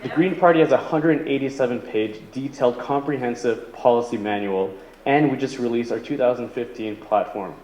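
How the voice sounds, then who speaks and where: A man's voice: medium pitch, normal speed, lo-fi sound. A man giving an earnest opening statement as a political candidate at a debate. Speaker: political candidate, Green Party. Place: indoor community debate venue. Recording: echoey hall with faint noise.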